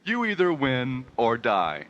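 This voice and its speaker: A man playing a brash game show host in a parody radio sketch, announcing in a showy, drawn-out way. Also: mid-range voice, wildly swooping pitch, a bit bright, highs cut off, exaggerated voice. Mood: showy, upbeat.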